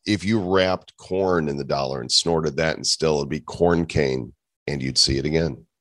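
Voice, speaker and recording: A man's voice: a bass voice, moderate pace, a bit bright, no echo, clean studio sound. A man co-hosting a podcast, wrapping up with easygoing, joking banter. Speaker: podcast co-host. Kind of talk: casual chat.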